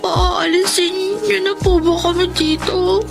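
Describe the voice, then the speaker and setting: Earnest, very high-voiced little girl. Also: level tone, a little slow, vocal fry, over music, exaggerated voice. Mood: tearful pleading. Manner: pleading, polite, childlike. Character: earnest pleading young orphan girl, older sister. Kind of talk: Filipino radio drama acting.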